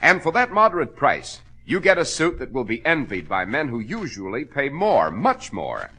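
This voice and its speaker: Male American radio announcer, sweeping intonation, bright forceful tone, slightly husky, emphatic salesman, 1940s broadcast style. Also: mid-range voice, huge pitch swings, moderate pace.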